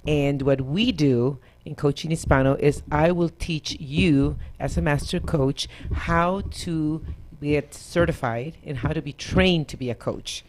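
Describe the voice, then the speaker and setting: A woman's voice: deep voice, measured pace, few pauses, darkish tone, low-quality recording. Woman from Costa Rica, life coach trainer, talk show guest, confident, upbeat. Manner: confident, upbeat, laughs easily. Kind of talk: talk show guest explaining her work.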